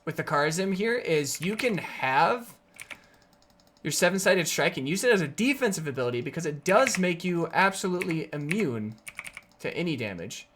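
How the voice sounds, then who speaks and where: A streamer's voice, raspy and sore, talks through a match live in a middle pitch, explaining each play and now and then jumping into excited outbursts. Faint keyboard clicking sits quietly behind the voice at the desk mic. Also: moderate pace, slight background noise. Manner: upbeat, explains plays, with sudden excited outbursts. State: raspy, sore voice from energy drinks. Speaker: game streamer. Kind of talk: live gameplay commentary.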